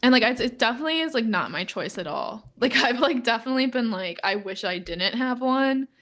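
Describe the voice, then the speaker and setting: A young woman in her twenties co-hosting a podcast in casual chat, talking in a flat monotone and filling nearly every phrase with "like". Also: a little fast. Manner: says "like" constantly, flat and low-key, laughs often. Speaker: podcast co-host.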